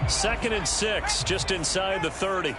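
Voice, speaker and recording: A man's voice: high-pitched, unhurried, a loud crowd. A man with an American accent calling football play-by-play as an announcer in a video game. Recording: game audio under loud stadium noise.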